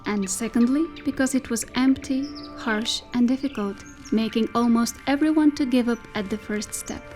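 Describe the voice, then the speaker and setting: A woman performing as the storyteller of a dystopian folk tale, reading scripted literary narration in a measured, descriptive way. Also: a little high, darkish tone, faint music behind, natural voice.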